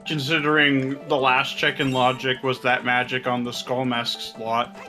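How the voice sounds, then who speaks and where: A man with a bright, forward voice doing casual live commentary on a speedrun race. He explains the game's logic step by step and drops in playful asides, with his pitch sitting in the middle range. Soft music runs quietly in the background. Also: little pitch movement. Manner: jokey, analytical, quick asides.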